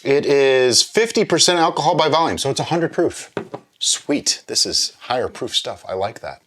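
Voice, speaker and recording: Guy doing a casual tasting vlog, really animated up and down voice, thinks out loud and explains stuff. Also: mid-range voice, a little hiss or hum. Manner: musing, explanatory, with pauses and checks of "okay?". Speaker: host of a drinks review vlog. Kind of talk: casual chat, tasting review.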